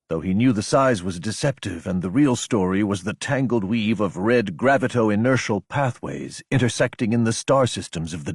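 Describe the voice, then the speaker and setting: Male narrator for sci-fi audiobook: husky mid-range voice, serious and measured, dramatic scripted read. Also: mid-range voice, a little mellow, no echo, studio quality.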